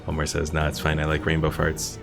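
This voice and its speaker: A man's voice: extremely low pitch, completely flat delivery, brisk, creaky, music in the background. A man giving casual, easygoing live game commentary, thinking aloud and reading out chat as a streamer.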